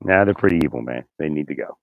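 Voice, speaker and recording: Low-voiced guy, bit hoarse, rambling into his phone, stumbles and repeats words a lot, dry close sound. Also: expressive, no room sound, phone-quality sound. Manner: halting, repeats words, chuckles often, asks "right?". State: slightly raspy, hoarse voice. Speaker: vlogger. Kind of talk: rambling casual monologue. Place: outdoors in winter.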